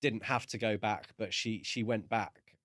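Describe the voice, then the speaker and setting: Low-voiced, thoughtful man on a book podcast. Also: steady tone, a bit bright, no echo, studio quality. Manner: halting, thoughtful phrasing. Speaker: podcast co-host. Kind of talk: casual book discussion.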